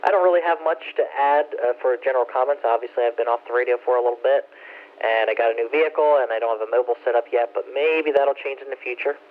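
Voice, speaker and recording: Male net controller, mid-pitched, courteous and unscripted, heard through a band-limited radio transmission. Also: fast. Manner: courteous, informal. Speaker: amateur radio net host. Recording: muffled two-way ham radio transmission.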